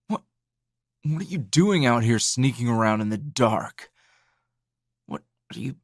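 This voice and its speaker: A man playing a tired, gruff, dryly teasing hero schoolteacher in an ASMR roleplay, speaking low and gravelly in a halting, understated way. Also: no echo, clean studio sound, exaggerated voice. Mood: surprised, exasperated. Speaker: voice actor.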